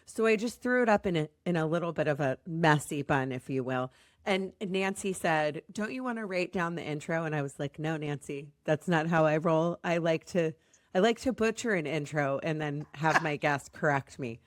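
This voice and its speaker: An American woman hosting a podcast in a chatty, rambling, self-deprecating way, her voice slightly raspy as it recovers from being lost. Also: low-pitched, moderate pace, no room sound, studio quality. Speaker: podcast host.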